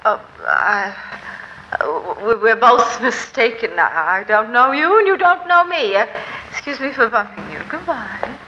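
Casting: washed-up woman on skid row, evasive, halting, tense voice, 1940s radio drama with dull sound. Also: on the high side, sing-song, bright, forceful tone, full character voice. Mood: flustered, evasive. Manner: halting, apologetic.

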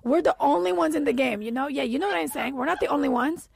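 A woman voicing an excitable, blunt male ballplayer in a put-on Dominican Spanish accent, loud and emphatic. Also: high-pitched, fast talker, gravelly, no room sound, clean studio sound, pitched above the performer's own voice. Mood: indignant.

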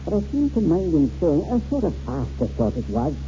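A man's voice: fairly high pitch, huge pitch swings, a little fast, dark tone. A man playing a formal, proper Scottish man of business in a 1940s radio drama. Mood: dryly amused. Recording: muffled, hissy 1940s radio recording.

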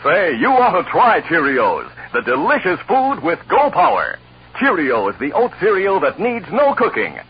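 A man's voice: sits high, wildly swooping pitch, a little slow. A man announcing and narrating a 1950s radio show in a dramatic, emphatic style. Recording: dull old radio recording.

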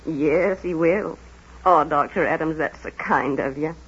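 A woman's voice: deep voice, unhurried, full character voice. An actress playing a humble, grateful, emotional small-town mother with folksy phrasing in an old-time radio drama. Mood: grateful, overjoyed. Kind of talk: 1940s radio acting. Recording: muffled husky old-time radio recording.

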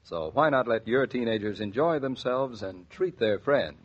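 A man with a husky voice announcing in the style of a 1940s sponsored radio show. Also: medium pitch, lively intonation, moderate pace. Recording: dull 1940s radio transcription.

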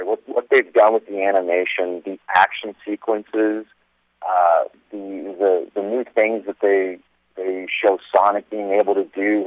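Man from Lebanon, low voice, phone-line sound, chatty, upbeat, dry. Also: dry recording. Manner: upbeat, chatty with many fillers. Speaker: actor guest. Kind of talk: phone interview.

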